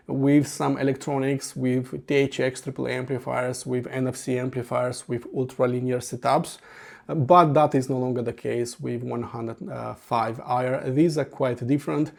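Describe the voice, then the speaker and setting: A Romanian-accented man, a native Romanian speaker, walks through a headphone review in a steady, methodical way, stopping often on uh fillers. His mid-range voice has a gravelly, creaky edge. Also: medium pitch, unhurried. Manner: earnest, methodical, with frequent uh fillers. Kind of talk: scripted product review voice-over.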